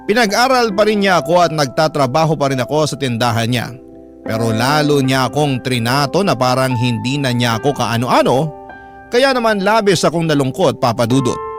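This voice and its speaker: A man's voice: medium pitch, expressive, moderate pace. A man reading a scripted first-person story as a radio drama narrator. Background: music under the voice.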